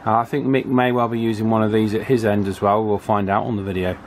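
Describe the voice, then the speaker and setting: A man's voice: lowish voice, flat delivery, fast, slight background noise. A British man giving a casual hands-on demonstration as a radio repairer, chatty and informal. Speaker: radio repairer presenting a video. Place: indoor electronics workbench.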